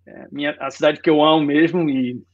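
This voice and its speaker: Homem de uns quarenta anos, sotaque baiano, fala tranquila de entrevista, som de chamada um pouco opaco. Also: male voice, on the high side, fairly quick, dull audio. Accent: Bahian Portuguese mixed with Rio. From Salvador, Bahia, Brazil. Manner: relaxed storytelling, frequent "né?". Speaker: guest designer. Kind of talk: casual interview chat.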